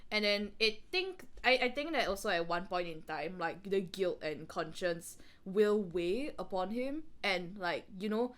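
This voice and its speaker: A woman with an average-pitched voice chats as a podcast co-host. She explains and speculates in a loose, rambling, very informal way. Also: expressive, measured pace, hardly any pauses. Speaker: co-host commenting on a novel reading. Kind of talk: casual chat commentary.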